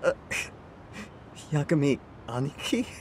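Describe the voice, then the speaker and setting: An actor playing a slightly nervous, easygoing younger sidekick in a game dub, casual and a little hesitant. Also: male voice, fairly high pitch, big pitch swings, slow, darkish tone, slight background noise. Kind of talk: English video game dub acting.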